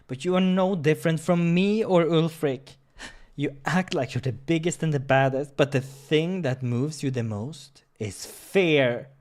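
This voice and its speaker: A man's voice: on the high side, darkish tone, pitched above the performer's own voice, close to an everyday voice. A man voicing a brave, indignant young hero in a visual novel, speaking in an impassioned, emphatic way.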